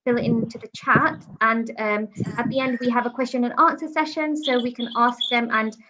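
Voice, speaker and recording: A woman hosting an online lecture, introducing the speaker with frequent ums and pauses. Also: a little high, fairly even intonation, background noise. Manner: hesitant, many ums. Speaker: host. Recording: lo-fi online call audio.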